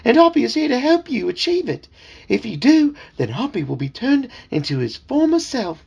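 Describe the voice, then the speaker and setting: A man's voice: extremely high pitch, big pitch swings, moderate pace, creaky, darkish tone, slightly rough, lo-fi sound, voice pushed up, heavily acted. A father voicing a talking frog, a fussy, servile little male sidekick who keeps calling people sir. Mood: eager. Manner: servile, calls everyone sir.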